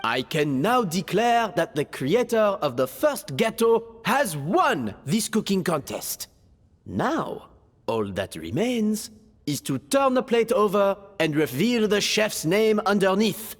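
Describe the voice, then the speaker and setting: Flamboyant culinary judge for a game: slow, grand, high-pitched, drawn-out proclamations. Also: male voice, big pitch swings, in a large hall, soft background music, full character voice. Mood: solemn. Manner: theatrical, drawn-out, declarative. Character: flamboyant, theatrical male food judge and contest announcer. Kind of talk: video game voice acting.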